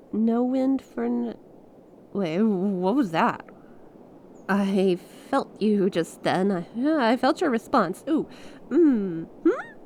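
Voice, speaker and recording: A woman acts out a young female space pilot stranded far from her fleet, in an ASMR audio drama. She talks slowly at a medium pitch, in an earnest, expressive and halting way, as if confiding in someone. Faint background noise sits behind her voice. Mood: curious, excited. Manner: expressive, halting, conversational, with small laughs and breathy exclamations. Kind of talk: ASMR audio roleplay, monologue to a listener.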